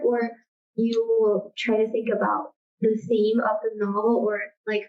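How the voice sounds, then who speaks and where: A woman's voice: medium pitch, a little mellow. Distant, muffled young woman asking a question. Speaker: audience questioner. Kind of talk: casual audience question. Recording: distant mic, dull lo-fi sound.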